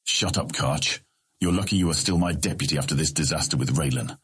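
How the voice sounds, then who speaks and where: A man's voice: very deep voice, fairly quick, full character voice. A man voicing a menacing, hot-tempered guild leader in an audiobook, commanding and bitingly sarcastic, with a grating voice. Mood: sarcastic fury. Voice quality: gravelly, grating.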